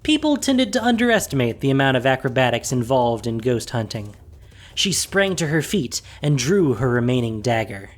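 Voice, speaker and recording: A man narrating a fantasy audio story in a scripted, expressive storytelling manner with dramatic pauses. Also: medium pitch.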